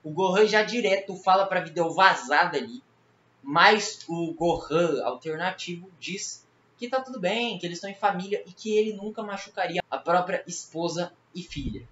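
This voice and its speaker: A man's voice: fairly high pitch, a bit bright, roomy sound. Man, Brazilian accent, colloquial recap narration, slightly muffled sound, faint background noise. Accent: Brazilian Portuguese. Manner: colloquial, slangy. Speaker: video creator recapping a fan horror series. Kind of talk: casual story recap narration.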